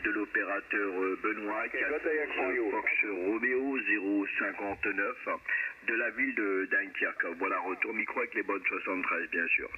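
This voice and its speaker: Deep-ish guy chatting on ham radio, repeats himself, thin noisy signal with lots of static. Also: a little slow. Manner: repeats himself, frequent "hein" tags. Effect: radio transmission. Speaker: amateur radio operator. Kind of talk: casual radio contact chat. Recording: narrow noisy radio link, static and interference. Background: radio static and interference.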